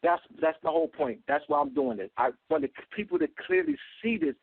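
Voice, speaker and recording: A man's voice: high voice, wildly swooping pitch, a little fast, gravelly, husky, no room sound. Insistent man lecturing over a tinny phone line. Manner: insistent, repetitive, many filler ums and uhs. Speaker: guest speaker. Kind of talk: call-in lecture, talking to a host.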